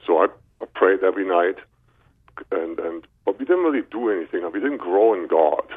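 German guy guest telling his story casually, low husky voice, tinny phone sound. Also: animated, moderate pace. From Berlin, Germany. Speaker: guest, chiropractor. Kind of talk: casual personal storytelling in interview. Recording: narrow muffled telephone line.

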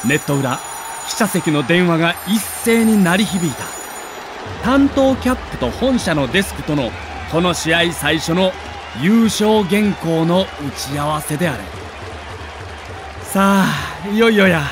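High-voiced man in dramatic narration, noisy backdrop. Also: expressive, measured pace, a crowd in the background. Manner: theatrical, emotive, emphatic delivery. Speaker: narrator of a baseball audio documentary.

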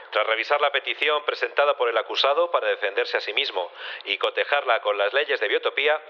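An actor playing a formal judge reading out rulings in an audio drama. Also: male voice, mid-range voice, lo-fi sound, natural voice. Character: formal male judge presiding over a trial. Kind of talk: Spanish audio fiction acting.

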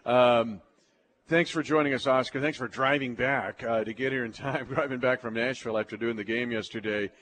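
An American man works as a sports show host, talking live to his audience and guest. His voice sits at a medium pitch, with an emphatic, folksy announcer's delivery. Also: fairly even intonation, a little fast, fairly bright tone.

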